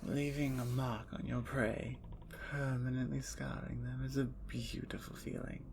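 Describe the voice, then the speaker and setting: A woman plays a captured female vampire in an ASMR roleplay, playful and menacing. She taunts and teases in soft whispers, with a gravelly edge and an unhurried pace. A faint hiss sits under the recording. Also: rumbling low, level tone, full character voice. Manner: teasing, taunting.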